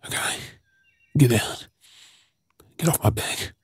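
An Australian man playing a gruff but caring zombie apocalypse survivor in a breathy, often whispered ASMR role-play. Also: slow, no room sound, lightly acted. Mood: urgent.

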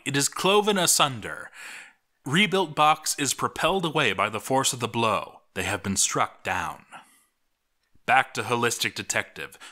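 Male narrator for a game story series, lowish pitch, bright forceful tone, theatrical mock-epic delivery. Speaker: narrator of a video game let's read series. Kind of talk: dramatic scripted narration of game events.

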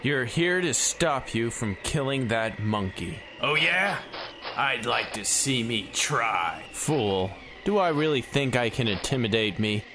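A man's voice: mid-range voice, animated, measured pace, background noise. A man playing a hot-headed, bumbling time traveler in a comic audio sketch, with a melodramatic delivery. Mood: confrontational.

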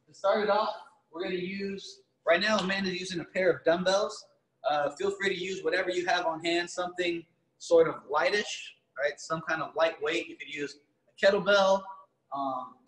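A man's voice: on the high side, fairly bright tone. Young man coaching in an echoey gym. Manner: upbeat, encouraging cues to participants. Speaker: fitness coach. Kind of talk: coaching a live online workout class. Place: large gym with rigs, indoors. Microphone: far camera.

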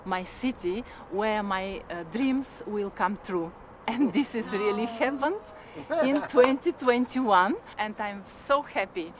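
A language teacher from Bulgaria, a woman with a Bulgarian accent, giving a halting personal speech. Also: medium pitch, noisy background.

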